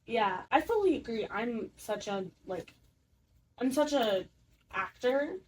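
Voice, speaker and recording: A woman's voice: a little high, animated, slow. A teenage girl and theater student chatting casually with friends, with many fillers and restarts. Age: teens. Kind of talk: casual chat. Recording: distant mic, faint room noise.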